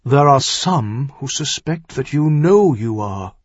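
A man's voice: medium pitch, lively intonation, slow pace, no echo, highs cut off. A man voicing an earnest, exasperated older earl and worried father-in-law in an audiobook, urgent and insistent. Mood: grave, urgent. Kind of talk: audiobook character dialogue.

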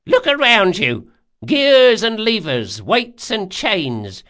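A man voicing a brusque, sharp-tongued old woman, a master artificer in a fantasy audiobook. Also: sits high, huge pitch swings, slow, voice pushed up, heavily acted. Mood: brusque, impatient. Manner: brusque, exclamatory, scolding.

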